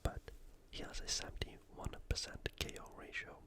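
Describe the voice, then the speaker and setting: A host whispering an informal, opinionated sports preview in ASMR style, all breath and no voice. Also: normal speed. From United Kingdom.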